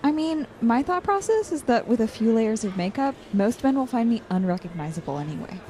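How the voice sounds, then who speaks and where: A woman's voice: fairly high pitch, lively intonation, fairly quick, mellow, natural voice. A woman playing a studious, guarded college student with a dry, slightly hesitant delivery, in naturalistic audio drama. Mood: wry, deadpan. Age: young adult.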